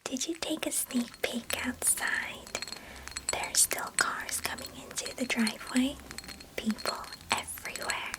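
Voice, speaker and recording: Woman playing mischievous young girl cousin, breathy whisper, light high pitch, gentle old-fashioned phrasing, affectionate, close-mic ASMR roleplay. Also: a little slow, low-quality recording. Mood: confiding, wistful. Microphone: close microphone.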